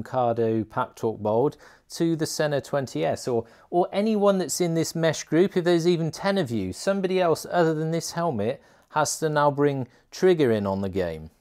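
A man's voice: fairly high pitch, animated, normal speed, darkish tone. A man hosting a motorcycle gear video, walking through an informal product tutorial in a chatty, colloquial way.

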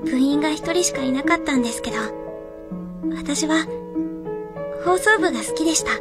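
An actress playing a shy, apologetic high school girl in an earnest, tender radio drama monologue. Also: moderate pace, over loud music. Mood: wistful, tender.

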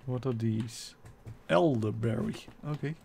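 A man's voice: mid-range voice, unhurried, gravelly, background noise. A man with a Dutch accent chatting with viewers and teammates while streaming a game live, laughing often. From Netherlands. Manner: chatty, laughs often, reads chat aloud. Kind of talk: live gameplay chat.

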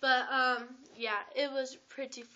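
A teenage girl gushes through a chatty vlog story, high-pitched and sparkling bright. She talks close to a laptop webcam in a small carpeted room. The audio comes through muffled and lo-fi. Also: level tone, unhurried. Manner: gushing, chatty storytelling with frequent "like". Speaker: vlogger. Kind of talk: excited casual vlog recap. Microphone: laptop webcam at close range.

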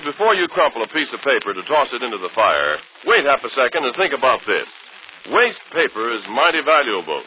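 A man announcing in earnest, persuasive 1940s radio style, reading a scripted public appeal. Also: mid-range voice. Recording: dull old radio broadcast, hiss.

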